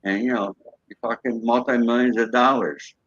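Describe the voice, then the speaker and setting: A man's voice: mid-range voice, fairly even intonation, moderate pace, fairly bright tone, breathy edge, dry recording. A man guest in a casual interview, matter-of-fact and peppering his talk with "you know". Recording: dry, dull lo-fi audio.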